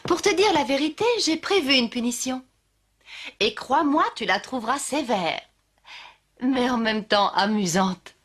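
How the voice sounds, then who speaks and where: A woman playing a kind but firm schoolmistress in a French-dubbed cartoon, speaking in a measured, instructive way. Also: on the high side, slightly rough. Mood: teasing, firm. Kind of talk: French animated series dubbing. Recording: faint music behind the voice.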